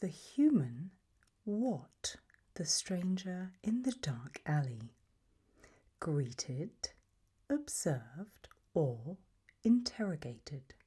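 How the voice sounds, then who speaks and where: A woman doing a whispered ASMR roleplay as an examiner, hushed and deliberate, pausing between short phrases. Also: on the low side, big pitch swings, slightly rough. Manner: slow, deliberate, softly instructive with long pauses between options.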